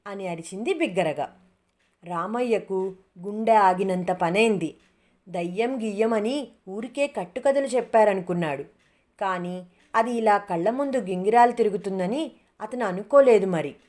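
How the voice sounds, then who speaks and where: A woman's voice: mid-range voice, normal speed, a little mellow. A woman storyteller reading a scripted moral tale as narrator.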